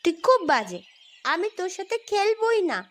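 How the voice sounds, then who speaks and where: Woman as a high, whiny little cartoon girl. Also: big pitch swings, moderate pace. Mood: cross, whiny. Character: whiny, quarrelsome little girl, younger sister. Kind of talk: cartoon story dialogue. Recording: music behind the voice.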